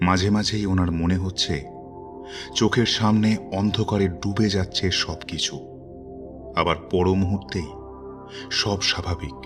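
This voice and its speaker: Low-voiced man narrating horror with suspense. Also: fairly even intonation. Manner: suspenseful, theatrical, measured phrasing. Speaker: story narrator. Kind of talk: dramatic horror story narration. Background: background music.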